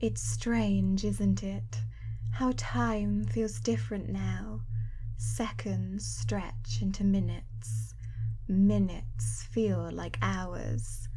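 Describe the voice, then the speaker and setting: A young woman performing an ASMR hypnosis roleplay, hushed and partly whispering close to the mic, with a teasing, coaxing, controlling manner. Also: medium pitch, slight background noise. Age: young adult.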